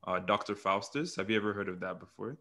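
A man's voice: on the low side, fast, sharp tone. A young man from Nigeria, telling his story casually as an interview guest.

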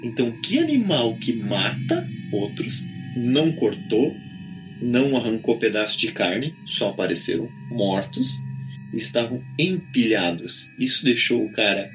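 A man's voice: medium pitch, very animated, measured pace, poor audio. A man with a Brazilian Portuguese accent, casually telling anecdotes as a storyteller in a conversation. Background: background music.

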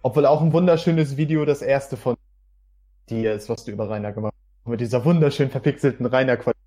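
A man's voice: medium pitch, fast talker, warm tone. A man talking casually as a participant in a podcast. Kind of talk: casual chat.

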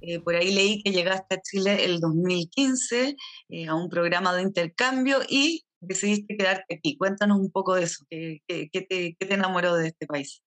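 A woman's voice: on the low side, moderate pace, a bit bright. A woman hosting a podcast interview, asking questions in a friendly way with some filler hesitations. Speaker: podcast host.

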